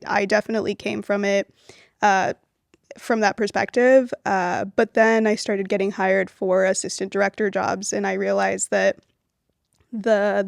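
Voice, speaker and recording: A young woman from Venezuela, raised in Miami, talks easily about her film work, her sentences full of loose fillers. Her voice sits at a middle pitch with a noticeable gravelly fry. She is recorded close and dry. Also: no echo. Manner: relaxed, with frequent "um" and "like" fillers. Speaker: guest, film producer. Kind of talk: casual interview conversation.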